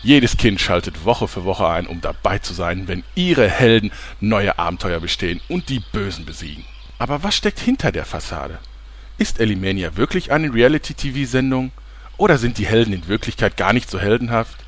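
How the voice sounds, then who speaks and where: A man's voice: fairly low pitch, expressive, normal speed. A man playing a pompous, sleazy, easily flustered TV reporter in an audio parody, with dramatic announcer delivery. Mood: sensational, dramatic.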